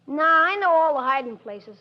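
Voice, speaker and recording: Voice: high-pitched, animated, moderate pace. A cheeky wisecracking boy on old radio. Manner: teasing, wisecracking. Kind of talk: 1940s radio acting. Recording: dull lo-fi 1940s radio broadcast.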